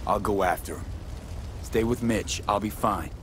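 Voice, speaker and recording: An actor playing a steady, loyal young male mercenary with dry wit and a clipped, slangy way of talking, in a video game. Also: fairly low pitch, moderate pace, slight background noise, natural voice. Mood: resolute. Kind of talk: cinematic video game acting.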